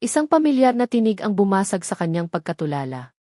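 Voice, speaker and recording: Filipino woman storyteller, scripted drama narration, medium pitch, no room sound at all. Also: sing-song, brisk, mellow, no echo, clean studio sound.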